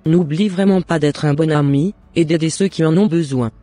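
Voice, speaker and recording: A man's voice: on the high side, normal speed, warm tone, dry recording, faint music behind, close to an everyday voice. A man playing a loving, devout mother in a cartoon, giving earnest and encouraging advice in formal words. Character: devout, loving mother advising her son. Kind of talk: scripted cartoon dialogue.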